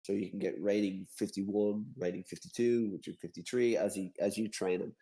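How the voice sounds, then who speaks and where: A man's voice: fairly low pitch, steady tone, creaky, dark tone, low-quality recording. An Irish man in a casual chat, explaining a game in a rambling way and repeating himself often. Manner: rambling, repeats himself, quick to laugh at his slips. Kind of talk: casual video-call chat.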